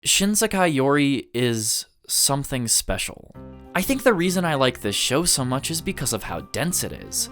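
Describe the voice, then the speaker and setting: A man with a mid-pitched voice delivers scripted video-essay narration over background music. His intonation is lively, rising and falling widely. He phrases things earnestly and deliberately, leaning on key words for emphasis. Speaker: video essayist reviewing an anime.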